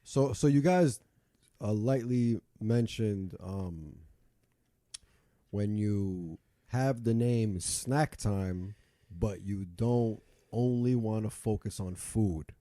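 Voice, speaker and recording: Male host, mellow and warm-toned, relaxed questioner guiding the chat, close dry mic. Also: on the low side, slow, no room sound. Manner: relaxed, asks questions, steers the talk. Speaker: podcast host. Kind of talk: casual interview.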